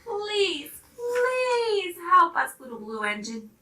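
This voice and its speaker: Small, excitable dolls and toys from a children's storybook, voiced by a woman. The delivery is childlike, pleading and emphatic, with a high, swooping pitch in a roomy recording. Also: slow pace, far from the mic, full character voice. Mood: urgent pleading.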